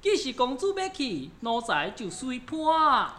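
Woman playing a perky, high-pitched young servant boy. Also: sing-song, measured pace, a bit bright, exaggerated voice. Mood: eager, obedient. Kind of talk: Taiwanese opera radio drama acting.

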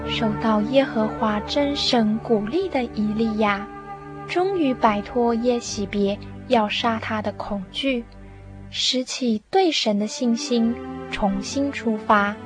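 A woman narrating a radio drama with measured, expressive scripted storytelling. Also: fairly high pitch. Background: music.

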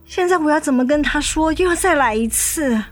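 Anguished mother, high-voiced, plaintive. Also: expressive, fairly quick, faint music behind. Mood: distressed. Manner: emotional, plaintive. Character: anguished, devoted young mother of sick child. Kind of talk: Mandarin radio drama acting.

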